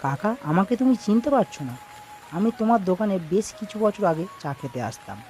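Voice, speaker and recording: Cartoon village son, male narrator acting: earnest, eager homecomer, fairly high male voice, music underneath. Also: animated, brisk, a little mellow. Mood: friendly. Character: earnest village son home from city work.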